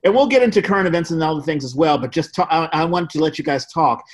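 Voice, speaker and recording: A man's voice: fairly high pitch, expressive, fast. A man hosting a casual interview, steering the chat and adding his own thoughts. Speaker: podcast host.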